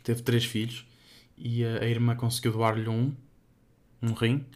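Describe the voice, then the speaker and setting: A man's voice: medium pitch, monotone, measured pace, a little mellow. A young man with a European Portuguese accent chatting casually as a podcast co-host, rambling and hesitant, with many fillers.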